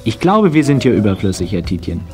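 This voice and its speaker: An actor playing a polite, brisk manager with a courteous, formal manner in a children's radio play. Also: male voice, fairly low pitch, very animated, warm tone, soft background music. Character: polite, brisk male animal-society manager.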